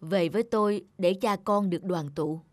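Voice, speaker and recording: A woman's voice: medium pitch, normal speed, natural voice. A woman voicing a remorseful, insistent middle-aged father in a scripted cartoon.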